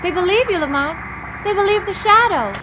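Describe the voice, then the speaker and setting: A woman's voice: very high-pitched, animated, brisk, noisy background. An actress playing an earnest, compassionate female companion, emotive in the manner of 1940s radio drama. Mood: anxious, relieved. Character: earnest, compassionate woman, the avenger's loyal companion.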